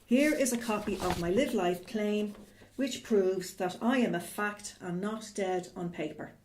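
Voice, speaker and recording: A woman's voice: mid-range voice, a little slow, noisy background. A woman from Ireland with an Irish accent, reading a formal, declarative statement as a guest. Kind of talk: prepared statement.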